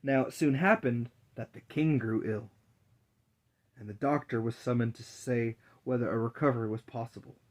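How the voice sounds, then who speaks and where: A man reads a fairy tale aloud as a storyteller. His voice is mid-range with a husky, breathy grain. The narration is scripted and delivered as a dramatic reading. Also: mid-range voice, normal speed.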